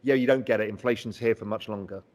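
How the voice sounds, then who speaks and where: A man's voice: on the low side, steady tone, fast talker, darkish tone. A man in his fifties explaining markets in casual talk over a video call from a large indoor room. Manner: emphatic, gestures while explaining. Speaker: host. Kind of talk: conversational market discussion. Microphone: video call webcam.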